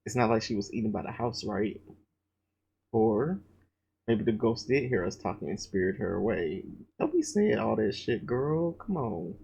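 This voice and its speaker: A man's voice: lowish voice, expressive, normal speed, creaky, slightly rough. A man reading a visual novel aloud, breaking off into profane, slangy joking asides. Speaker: let's play gamer. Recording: loud background noise.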